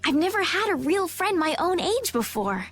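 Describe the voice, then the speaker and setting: Naive polite noble girl in a game, high n lively, sincere, eager. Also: normal speed, soft background music, full character voice. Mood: touched. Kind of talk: English video game dub acting.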